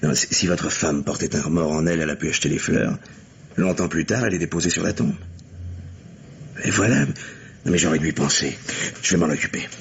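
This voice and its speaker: An actor playing an earnest, methodical police lieutenant in a French mystery radio play, speaking deliberately. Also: male voice, rumbling low, gravelly. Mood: dawning realisation. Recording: muffled, hissy vintage radio recording.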